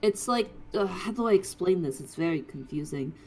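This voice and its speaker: A woman's voice: lowish voice, lively intonation, moderate pace, darkish tone. A woman playing a tabletop role-playing game, chatting casually in character in a tentative, hesitant way.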